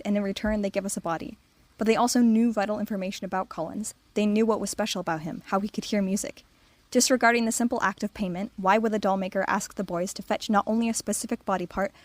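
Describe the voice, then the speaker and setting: A woman giving a prepared listener monologue, articulate and earnest. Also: medium pitch. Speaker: listener sending a voice submission.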